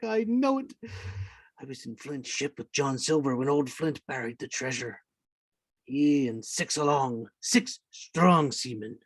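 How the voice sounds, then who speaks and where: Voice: mid-range voice, darkish tone, no room sound. An actor playing a marooned, half-mad old pirate castaway in a radio play, rambling and repeating words in rustic sailor speech. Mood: fearful, then hushed, secretive. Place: indoors, remote online reading. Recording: dry video-call recording.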